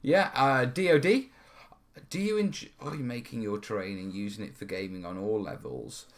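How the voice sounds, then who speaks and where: Low-voiced man, rambling and informal. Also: expressive, normal speed. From North Wales, UK. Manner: informal, rambling, often checking in with "yeah" and "you know". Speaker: host of a terrain-modelling hobby stream. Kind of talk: casual live question-and-answer chat. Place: studio.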